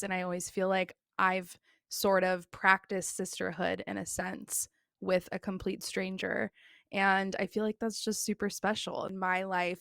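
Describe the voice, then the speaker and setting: Earnest woman, fry-ish low voice, sincere podcast chat. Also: a bit bright, no room sound, clean studio sound. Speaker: podcaster, guest. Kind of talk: casual podcast conversation.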